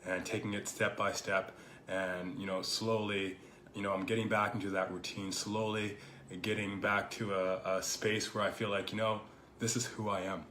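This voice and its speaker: A man with a lowish, slightly hoarse voice, still getting over a cold, speaking in a roomy space with a faint hiss behind him. He talks as the host of his own show, thoughtful and rambling, often pausing on "you know" as he works through his ideas. The delivery is casual and personal rather than polished. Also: flat delivery, pushed and bright. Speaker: podcast host. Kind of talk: casual reflective monologue. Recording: echoey room with faint background noise.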